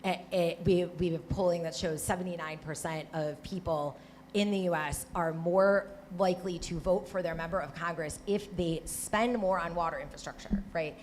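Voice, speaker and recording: A woman with a Michigan accent speaks on a live panel, her voice sitting quite low. She talks conversationally, often restarting and checking in with a quick "right?". A faint hiss of noise sits behind her. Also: fairly even intonation. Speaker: panelist, former Senate staffer.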